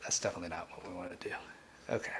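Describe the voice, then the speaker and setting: A man hosting a live coding tutorial, thinking aloud in a halting, informal way and often restarting his sentences. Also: sits low, fairly even intonation, in a whisper. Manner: halting, self-interrupting, informal. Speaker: livestream host, programming instructor.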